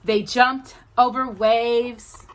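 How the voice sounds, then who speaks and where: A woman reading a children's storybook aloud as a storytime host, in an expressive, drawn-out, playful manner. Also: on the high side. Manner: animated, drawn-out delivery with playful asides to a child.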